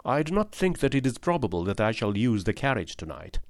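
A man's voice: mid-range voice, very animated, brisk, no room sound. A man playing a cool, dryly assured detective. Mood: calm assured. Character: keen, cool-headed male detective, dry and assured.

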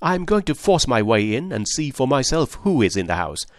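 A man's voice: medium pitch, very animated, fairly quick, no room sound, studio quality, natural voice. A man reading aloud and voicing an agitated husband who talks in a raised, stern, insistent voice. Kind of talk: audiobook character voice.

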